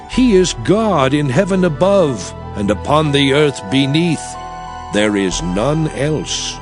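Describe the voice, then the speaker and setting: Grave prophet leader in a bible audio drama, really slow emphatic preaching, loud music behind. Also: male voice, a little high. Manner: grave, emphatic, measured declaiming. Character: Moses, solemn prophet and leader exhorting his people. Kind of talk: dramatized scripture oratory.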